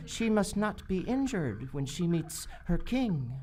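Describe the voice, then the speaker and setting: A woman playing a sly, treacherous male jungle guide in an adventure radio play, with an oily, measured delivery. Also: lowish voice, big pitch swings, mellow. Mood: gloating, sinister. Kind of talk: old-time adventure radio acting. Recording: live stage recording, some background noise.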